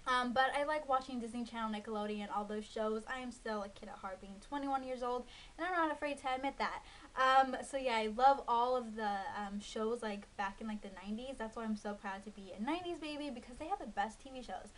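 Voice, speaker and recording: A woman's voice: fairly high pitch, fairly quick, fairly bright tone, poor audio. Chatty young woman vlogger, light hiss. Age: 20s. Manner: rambling, many ums and likes. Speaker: beauty vlogger. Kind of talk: casual chat to camera. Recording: faint hiss.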